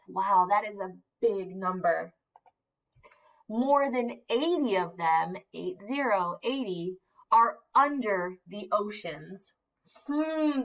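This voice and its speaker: Lady teacher doing a read-aloud for little kids, takes it real slow, encouraging, asks lots of questions. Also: fairly high pitch, low-quality recording. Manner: expressive, simple child-directed delivery with emphasized words. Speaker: teacher of young children. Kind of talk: interactive read-aloud lesson for young children.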